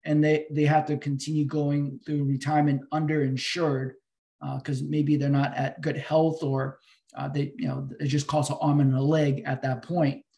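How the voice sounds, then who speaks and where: Man explaining, slightly high-pitched voice. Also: flat delivery, normal speed, vocal fry, dark tone. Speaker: financial advisor guest answering questions. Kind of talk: webinar question-and-answer. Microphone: video call.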